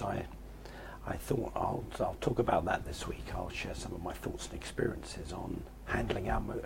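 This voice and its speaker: A hoarse middle-aged man with a croaky voice that breaks into whisper, talking informally and reflectively to camera in a halting way. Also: sits low. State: hoarse, voice nearly gone. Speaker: host sharing personal reflections.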